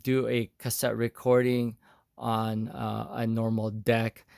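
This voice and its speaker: A man hosting a casual how-to demonstration in a halting way, thinking aloud as he goes. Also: mid-range voice, gravelly, dry recording, studio quality. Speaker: video tutorial host.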